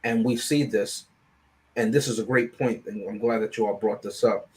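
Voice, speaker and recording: A man's voice: on the low side, steady tone, normal speed, a little mellow. A man speaking as a panelist on a film review show, in casual, analytical discussion, often checking in with "right?".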